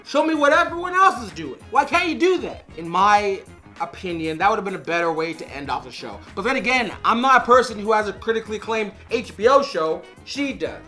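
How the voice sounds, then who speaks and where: A man with a raspy, hoarse voice from being sick, reviewing a TV series to camera in a casual, animated, rambling way with frequent restarts. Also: sits high, a little fast, soft background music. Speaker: TV review vlogger. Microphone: on-camera shotgun mic.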